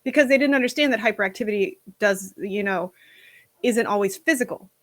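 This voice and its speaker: A woman talking as a guest in a casual stream chat, frank and animated, with casual swearing. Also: mid-range voice, a little fast, dry recording.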